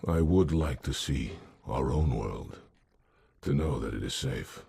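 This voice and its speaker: A man playing a solemn, noble alien warrior commander in a video game, speaking in a formal, stately way with a gravelly, husky voice. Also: rumbling low, expressive, unhurried, dark tone, dry recording, studio quality, full character voice. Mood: solemn, reflective. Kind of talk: video game cutscene acting.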